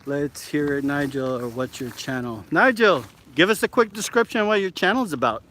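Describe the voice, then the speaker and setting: A chatty, excitable middle-aged man from Alaska hosts a casual live-stream vlog outdoors. His voice sits a touch high, and he fills his talk with "like" and "you know". Clear outdoor ambience runs behind him on the handheld camera. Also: moderate pace, a little hiss or hum. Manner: excitable, chatty, lots of fillers. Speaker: vlog host.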